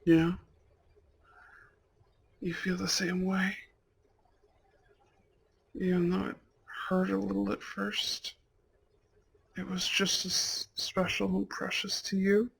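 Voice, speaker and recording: Devoted mafia heir played by a man, romantic ASMR roleplay: slow, intimate, breathy, raspy. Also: high voice, monotone, fairly bright tone, heavily acted. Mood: tender, loving. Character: devoted, tender mafia heir, newlywed husband and anxious father-to-be.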